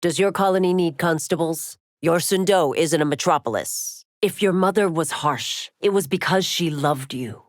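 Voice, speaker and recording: A woman's voice: fairly low pitch, moderate pace, few pauses, dry recording, studio quality, lightly acted. An actress playing a stern, dutiful warrior woman and law officer in a fighting game, speaking in formal, commanding, clipped declarations. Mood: stern, authoritative. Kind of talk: fighting game character voice lines.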